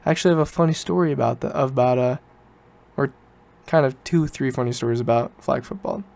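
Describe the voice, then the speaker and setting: A young man in his late teens talks as if hosting his own podcast. His voice is clean and smooth, sitting at an average male pitch. He tells things in a loose, informal way, doubling back to correct himself as he goes. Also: fairly quick, a little mellow. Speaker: podcast host. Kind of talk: casual solo podcast chat, rambling storytelling.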